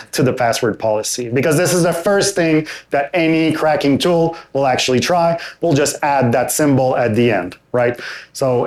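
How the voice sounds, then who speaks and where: A man presenting a technical security talk at a conference and taking audience questions, relaxed and thinking aloud with frequent hesitations and restarts. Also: medium pitch. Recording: roomy hall sound.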